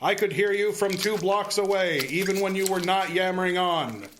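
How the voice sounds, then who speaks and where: An actor playing a sharp-eared, scolding circus ringmaster in an audio drama, speaking bluntly and declaiming. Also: male voice, high voice, normal speed, background noise, natural voice. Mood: bold, scolding.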